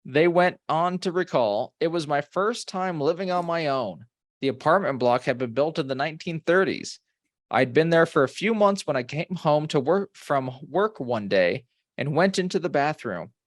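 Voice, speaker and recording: A man's voice: on the high side, animated, moderate pace, no room sound, clean studio sound. A man co-hosting a comedy podcast, reading ghost stories aloud with wry, bantering asides. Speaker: podcast co-host.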